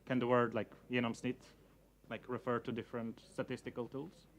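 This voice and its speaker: A man's voice: mid-range voice, little pitch movement, brisk, a little hiss or hum. A young man whose first language is Slovenian gives a casual talk to an audience. He hedges a lot and fills his sentences with "you know, like.". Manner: hedging, many fillers like "you know, like". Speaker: speaker giving a talk.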